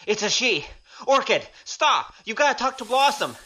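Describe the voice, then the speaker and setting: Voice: medium pitch, sing-song, normal speed, bright, forceful tone. An actor playing a cheeky young male rogue in an audio drama, with a wry, glib manner. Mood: urgent.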